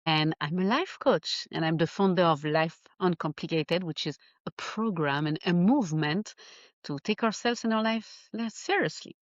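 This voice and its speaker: A woman from Geneva with a Swiss French accent, a life coach chatting in an interview and often pausing to find her words. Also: fairly low pitch, no room sound, highs cut off. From Geneva, Switzerland. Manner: animated, laughs often, searches for words. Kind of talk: casual interview chat.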